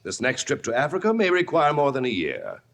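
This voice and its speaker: A man's voice: mid-range voice, wildly swooping pitch, fairly quick, gravelly, fairly bright tone, exaggerated voice. An actor playing a brusque, self-important wealthy father in old-time radio drama, pompous and domineering. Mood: formal, indignant.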